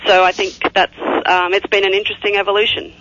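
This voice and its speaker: Bright Australian woman, laughing guest. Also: medium pitch, level tone, quick pace, no room sound. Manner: friendly, laughs easily, hesitant with um. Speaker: guest scientist. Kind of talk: casual radio interview. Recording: dull lo-fi radio sound.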